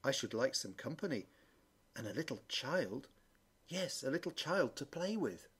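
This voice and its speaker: A man's voice: medium pitch, sing-song, moderate pace, fairly bright tone, breathy edge, full character voice. A man playing a lonely, kindly old shoemaker in a storybook reading, musing wistfully to himself.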